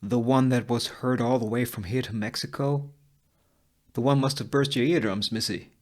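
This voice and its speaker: A man's voice: mid-range voice, steady tone, normal speed, voice pushed up, lightly acted. Man playing a hard, suspicious Western gunman. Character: hard, suspicious male Western gunman, leader of an armed gang.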